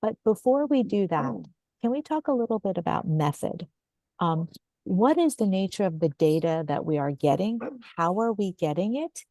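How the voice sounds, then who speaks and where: A woman's voice: mid-range voice, expressive, moderate pace, warm tone, no room sound. A woman hosting a webinar discussion, thinking aloud and putting questions to her guests over a video call. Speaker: host.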